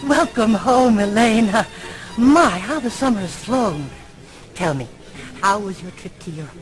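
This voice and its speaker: A woman's voice: medium pitch, lively intonation, a little slow, close to an everyday voice. An actress playing a kindly but firm older woman in a video game, genteel and motherly in manner. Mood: welcoming. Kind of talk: video game dialogue acting. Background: music.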